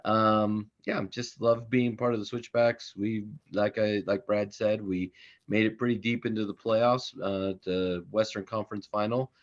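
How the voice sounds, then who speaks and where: A man with a fairly low voice rambles a little as a podcast guest, using many fillers. His audio sounds dull and lo-fi. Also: flat delivery, measured pace. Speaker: soccer chaplain guest.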